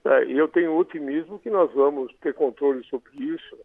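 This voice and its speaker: Brazilian doctor on a tinny phone line. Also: male voice, on the high side, moderate pace, no room sound. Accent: Brazilian Portuguese. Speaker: infectious disease doctor. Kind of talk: phone interview on radio. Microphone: telephone.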